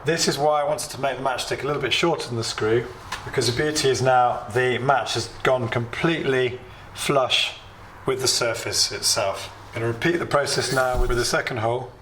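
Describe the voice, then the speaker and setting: A British man walks through a home repair in a chatty, plain way, his voice a bit hoarse from a cold. The room rings with echo, and a faint hiss sits under the sound. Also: medium pitch, steady tone, moderate pace. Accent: British English. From United Kingdom. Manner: chatty, explains steps plainly with small asides. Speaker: DIY video host. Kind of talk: relaxed DIY tutorial. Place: home workshop or kitchen.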